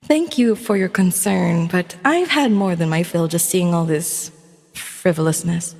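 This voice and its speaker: A woman voicing a gentle, dutiful young crown princess in a fantasy audio story, with formal, refined courtly phrasing. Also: on the low side, unhurried, vocal fry, in a large hall. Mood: polite, composed.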